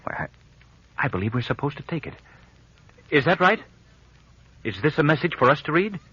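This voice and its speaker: Menacing crime fighter, 1940s radio mystery, very sharp forceful voice, animated pitch, dull old recording. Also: male voice, normal speed, breathy edge, dry recording, full character voice. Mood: puzzled, tense.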